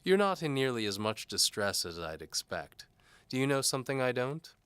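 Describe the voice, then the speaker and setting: Male actor as patronizing bishop, raised pitch, bright forceful tone, formal, condescending, menacing, audio drama villain. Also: sing-song, moderate pace, smooth, dry recording. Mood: smugly patronizing. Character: pompous, menacing bishop interrogating a prisoner, patronizing. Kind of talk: audio drama character acting.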